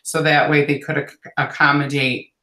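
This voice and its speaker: A man guesting on a livestream, explaining casually in halting phrases. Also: a little high, little pitch movement, sharp tone. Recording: roomy, echoing call audio.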